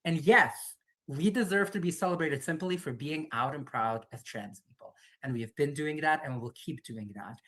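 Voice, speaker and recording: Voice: medium pitch, moderate pace, a bit bright. A panel speaker at a university event, earnest and emphatic.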